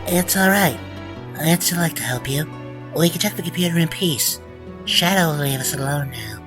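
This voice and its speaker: A man voicing a gentle, hopeful young hedgehog in a comic dub, speaking softly and earnestly. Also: fairly high pitch, animated, moderate pace, lightly acted. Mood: kind, reassuring. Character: gentle, hopeful young male Lunarian hedgehog, former captive. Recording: prominent background music.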